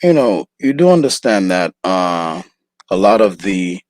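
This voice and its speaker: A man's voice: deep voice, big pitch swings, measured pace, a little mellow, no echo, clean studio sound. A man hosting a livestream debate, hesitant and repeating words as he builds his point. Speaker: livestream host. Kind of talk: casual debate chat.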